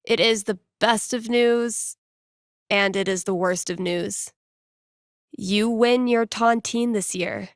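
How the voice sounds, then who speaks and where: A young woman hosting a late-night radio call-in show in a video game. She is wry and encouraging, spinning playful psychic predictions with mock solemnity. Her delivery is conversational at an average pitch, recorded dry with no room sound. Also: gravelly, dry recording. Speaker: radio host.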